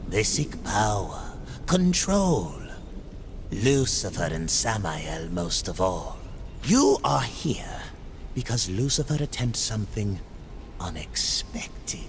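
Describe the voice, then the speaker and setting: A demonic game merchant, sly and theatrical. The speech is slow and insinuating, with wildly swinging pitch. Faint noise sits behind the voice. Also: male voice, big pitch swings, heavily acted. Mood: sly bargaining. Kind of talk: video game cutscene acting.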